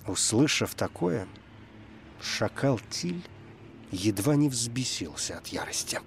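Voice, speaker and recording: A male radio presenter with a medium-pitched voice. He speaks about books and tells tales expressively, as a storyteller would. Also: sing-song, slow pace, creaky, a little hiss or hum. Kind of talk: expressive storytelling and literary talk.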